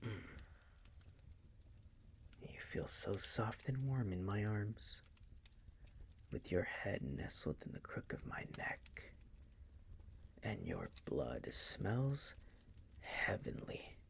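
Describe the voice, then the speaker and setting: A man playing a possessive, coolly menacing vampire master in an intimate audio roleplay, teasing and condescending in a breathy, gravelly whisper. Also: lowish voice, big pitch swings, exaggerated voice. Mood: seductive, doting. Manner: slow, condescending, teasing, coaxing.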